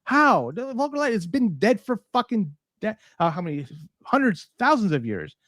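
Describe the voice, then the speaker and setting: A man's voice: high-pitched, sing-song, normal speed, fairly bright tone, dry recording, studio quality. A man with a Canadian accent co-hosting a podcast in casual, sweary, incredulous rants. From Toronto, Canada. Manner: profane, incredulous, ranting. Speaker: podcast co-host. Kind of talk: casual, unscripted podcast banter.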